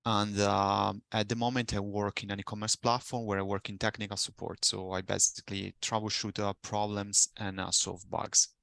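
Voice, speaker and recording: Soft-spoken low-voiced Italian man. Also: level tone, creaky, dry recording. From Italy. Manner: careful, searching for words with pauses. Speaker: current online graduate student. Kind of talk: webinar answers.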